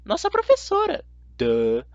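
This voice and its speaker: Guy doing a snotty mean schoolgirl, really high voice, mocking, audiobook. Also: huge pitch swings, measured pace, no echo, highs cut off, exaggerated voice. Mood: sneering. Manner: sneering, mocking. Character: snooty, sneering schoolgirl bully.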